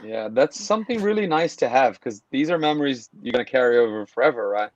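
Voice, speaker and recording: A man's voice: mid-range voice, expressive, fast talker, no room sound, highs cut off. A man from Brazil hosting a casual video call interview, talking in a friendly, rambling way with restarts. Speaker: podcast host.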